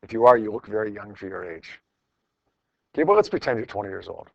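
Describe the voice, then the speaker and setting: A man's voice: fairly low pitch, animated, quick pace, gravelly, no echo, muffled recording. A middle-aged man teaching a class in an informal, encouraging way, repeating phrases for emphasis as he works through problems. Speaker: high school physics teacher. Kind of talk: classroom lecture, working through problems with students. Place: classroom with students.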